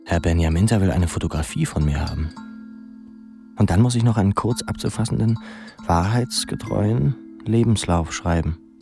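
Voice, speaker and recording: An actor playing a wry, dreamy, self-mocking young pupil in a radio drama monologue, musing and halting in a theatrical way. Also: male voice, sits low, vocal fry, darkish tone. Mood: wry, reflective. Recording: music behind the voice.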